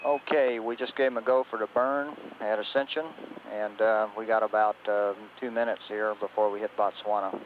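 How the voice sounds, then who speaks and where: Mission control radio operator, American man, lower register, procedural delivery, narrow-band transmission with light noise. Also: little pitch movement, normal speed, few pauses. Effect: two-way radio transmission. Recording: tinny, noisy 1980s space radio link.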